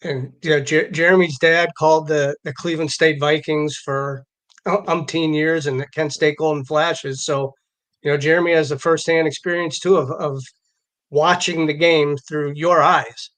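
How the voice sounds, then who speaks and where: American guy cohost chatting casually, slightly high voice, stumbles and repeats words. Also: steady tone, moderate pace, a bit bright. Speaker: show co-host. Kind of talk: casual conversation.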